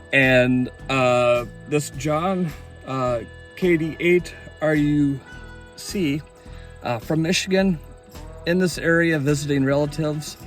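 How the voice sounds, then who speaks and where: Casual guy doing a ham radio vlog, enthusiastic, medium pitch, bit of music. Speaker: vlogger, amateur radio operator.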